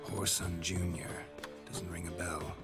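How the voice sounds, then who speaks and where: A gruff, unflappable hunter in a fantasy game. His voice sits very low and he speaks in terse, sardonic phrases over clear background music. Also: little pitch movement, unhurried. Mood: questioning. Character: gruff, laconic male monster hunter, dry and unflappable. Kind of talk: video game dramatic acting.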